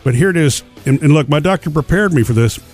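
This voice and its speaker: Chatty man, slightly nasal, rather high. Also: animated, quick pace, a little mellow. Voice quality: slightly nasal after sinus surgery. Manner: chatty, rambling with restarts and self-corrections. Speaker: radio show host. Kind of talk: casual chat.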